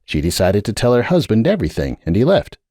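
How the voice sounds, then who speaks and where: A man's voice: fairly low pitch, huge pitch swings, fast talker, mellow, no room sound, studio quality, voice pushed up, full character voice. A man voicing an earnest, awkward unicorn wizard. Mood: careful. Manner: careful, apologetic, then insistent. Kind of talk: dramatic audiobook reading.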